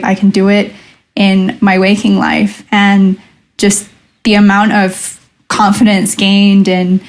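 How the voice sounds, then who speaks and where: Chatty woman telling a dream story. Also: mid-range voice. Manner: chatty storytelling, acts out her own past thoughts in quotes. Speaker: lucid dream researcher and coach, guest. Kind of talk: casual podcast conversation.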